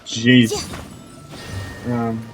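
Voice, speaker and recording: A man's voice: medium pitch, monotone, extremely slow. A young man giving casual gameplay commentary as a streamer, muttering and exclaiming off the cuff. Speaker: video game streamer. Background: game music.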